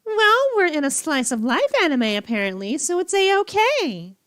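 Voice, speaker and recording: Theatrical woman streamer, high swooping voice. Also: sing-song, normal speed, fairly bright tone, no room sound, clean studio sound. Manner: theatrical, teasing, sassy. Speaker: streamer reacting to visual novels. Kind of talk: playful gaming commentary with dialogue read aloud.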